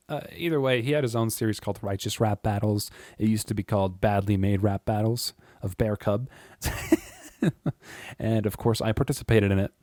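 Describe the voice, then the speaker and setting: A man with a deep voice, chatting casually and rambling to his viewers, with frequent chuckles and filler words. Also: darkish tone, dry recording, clean studio sound. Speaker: YouTube creator. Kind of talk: casual monologue to camera.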